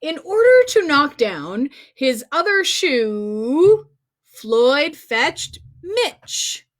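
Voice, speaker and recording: A woman's voice: sits high, very animated, very slow. A woman hosting a read-aloud for young children, speaking playfully and directly to them with exaggerated expression. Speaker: children's storyteller and singer hosting a livestream.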